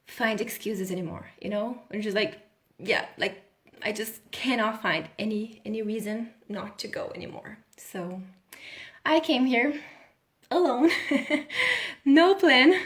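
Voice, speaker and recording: A woman in her thirties from Brazil, a healing coach talking casually on a live video and laughing often. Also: mid-range voice. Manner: chatty, laughs often, restarts sentences.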